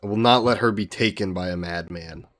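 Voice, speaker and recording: Low-voiced courtly vampire lord, played by a man. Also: fairly bright tone. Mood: stern, commanding. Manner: formal, genteel, measured phrasing. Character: ancient aristocratic male vampire, courtly, protective, gently teasing. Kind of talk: scripted romantic audio roleplay.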